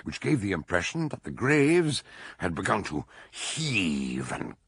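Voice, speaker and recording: An actor playing a stout, wry doctor detective in a radio drama, theatrical and droll, gravelly and husky. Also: male voice, low voice, wildly swooping pitch, measured pace, a bit bright, no echo, heavily acted. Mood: grave, storytelling. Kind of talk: radio drama acting and storytelling narration.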